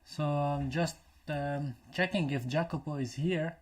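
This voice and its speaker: A man from Lebanon whose first language is Arabic, hosting a casual live stream chat over a video call, pausing and restarting as he looks for the right English words. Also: fairly high pitch. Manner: hesitant, restarts while searching for English words. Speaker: channel host.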